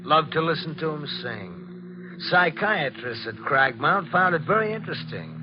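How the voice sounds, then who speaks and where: A shady blackmailer in a 1940s radio play. He talks in a slow, insinuating drawl with swinging intonation, casual on the surface and menacing underneath. The old recording hisses loudly. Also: fairly high pitch, big pitch swings, muffled recording. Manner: insinuating, folksy, unhurried menace. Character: shady, menacing male blackmailer, ex-asylum worker, sly and casual.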